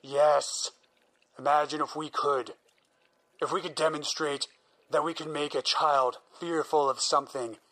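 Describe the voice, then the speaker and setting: A man's voice: fairly high pitch, fairly bright tone, rough recording. An actor playing a single-minded male scientist who explains his plans in an earnest, persuasive way. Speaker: researching psychologist. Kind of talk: audio drama acting.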